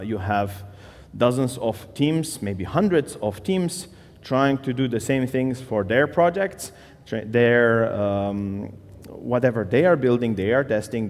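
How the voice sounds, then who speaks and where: A man's voice: mid-range voice, a little mellow. Man speaking in a reverberant hall. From Slovenia. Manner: casual, loose, with many fillers and restarts. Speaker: conference speaker. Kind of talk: conference talk.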